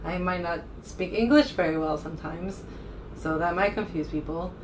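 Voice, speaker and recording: A woman's voice: fairly low pitch, moderate pace. A woman from the United States talking casually as a vlogger, rambling informally and hesitantly as she thinks aloud. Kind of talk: casual rambling vlog talk to camera. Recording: distant mic with faint room noise.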